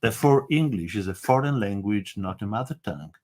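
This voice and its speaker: A man's voice: fairly low pitch, lively intonation, brisk, darkish tone. Hesitant man lecturing with an Italian accent. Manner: hesitant, many fillers, stresses words when reading. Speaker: teacher. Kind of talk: lecture with poem reading.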